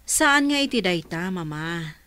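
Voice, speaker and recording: Actress as patient daughter; soothing, dry studio. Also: female voice, fairly low pitch, very animated, a little slow, bright, forceful tone, no room sound, full character voice. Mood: coaxing. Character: caring adult daughter, worried and patient. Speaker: radio drama actor. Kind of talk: radio drama acting.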